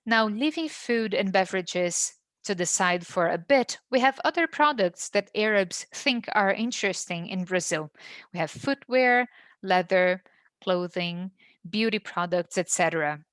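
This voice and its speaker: A woman giving a formal business presentation in a steady, measured way. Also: mid-range voice, no room sound. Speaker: webinar presenter.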